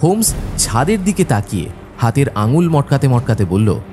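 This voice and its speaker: An actor playing a detective's observant companion, narrating the story dramatically in an audio drama. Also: male voice, mid-range voice, very animated, normal speed, mellow, faint music behind, close to an everyday voice. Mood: descriptive.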